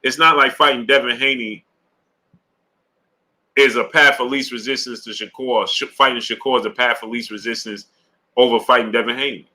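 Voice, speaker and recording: A man with a bright, forceful tone hosts a casual boxing live stream. He greets chat viewers by name and works his points out loud, stumbling through fillers and restarts. He keeps checking in with his audience as he goes. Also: medium pitch, steady tone, normal speed. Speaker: sports show host.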